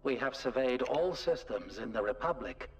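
An actor playing a sober alien Jedi elder in a video game, formal and measured. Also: male voice, medium pitch, lively intonation, lo-fi sound, music in the background, lightly acted. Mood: grave.

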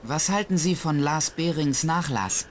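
Guy doing frank curious teen boy sleuth in a game, fairly high voice, faint crows. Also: measured pace, slight background noise, close to an everyday voice. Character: frank, inquisitive teenage boy detective. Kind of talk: scripted adventure game dialogue.

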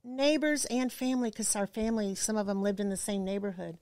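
A woman's voice: mid-range voice, fairly even intonation, brisk, a little mellow, no room sound. A woman casually chatting and reminiscing as a podcast guest, playful and wry.

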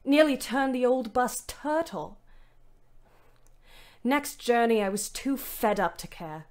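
An actress playing a weary, bitter young ambulance driver, narrating a Great War audio drama in a wry, dramatic way. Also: female voice, fairly high pitch, lively intonation, slow, a little mellow. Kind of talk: first-person audio drama monologue.

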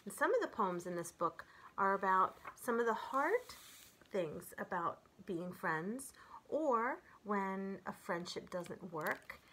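A woman in her forties reads to young children in a gentle storyteller's manner. Her mid-pitched voice moves expressively up and down. It is a homemade recording on a device mic, with faint noise behind her. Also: animated, slow, low-quality recording. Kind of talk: read-aloud of children's poems with casual commentary. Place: small furnished room at home, indoors.

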